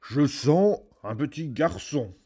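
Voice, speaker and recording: A man voicing a greedy male ogre giant who roars slowly and loudly. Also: expressive, slightly rough. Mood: menacing. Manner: slow booming roar.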